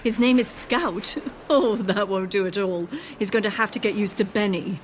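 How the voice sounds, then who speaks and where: An actress playing a volatile, scornful, sarcastic wife in a dramatic radio play. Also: female voice, mid-range voice, normal speed, slight background noise, close to an everyday voice. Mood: breezy, needling. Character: volatile, scornful wife hopping between dimensions. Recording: music under the voice.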